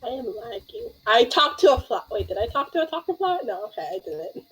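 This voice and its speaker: A woman's voice: high-pitched, very animated, a little fast, breathy edge, rough recording. A young adult woman in casual gameplay chat, rambling and reacting in scattered bursts. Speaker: gaming streamer.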